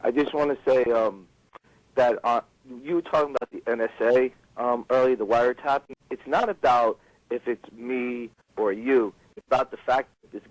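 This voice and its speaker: A man's voice: medium pitch, fairly even intonation, moderate pace, no echo, poor audio. A man calling a show by telephone with a political opinion. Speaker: phone-in caller. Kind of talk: political opinion on a phone call.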